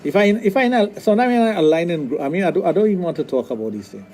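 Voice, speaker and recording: A middle-aged Trinidadian man speaks in a fairly high but mellow, warm voice, bluntly giving his views on a radio show. He often repeats a word and restarts mid-sentence. Faint studio hiss sits under his voice. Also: expressive, racing. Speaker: sexologist radio guest. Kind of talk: casual radio talk.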